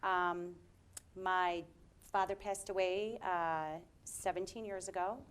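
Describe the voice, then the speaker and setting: A woman's voice: lowish voice, steady tone, slow, slight background noise. A woman in her fifties who was born in Lebanon, a guest chatting in a friendly way with many fillers. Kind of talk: casual interview talk.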